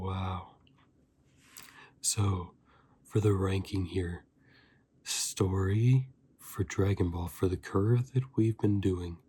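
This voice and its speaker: A man giving a softly spoken ASMR review as a host, breathy and often slipping into a whisper, chatting informally and rambling like a fan. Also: low voice. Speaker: host of an anime review channel.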